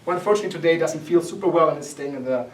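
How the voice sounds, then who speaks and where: A young man opens an academic conference, speaking earnestly in a fairly high voice. He quotes scholars in a lecturing way. He sounds distant from the mic in an echoey lecture hall. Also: racing. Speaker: conference organizer. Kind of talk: opening remarks at an academic conference.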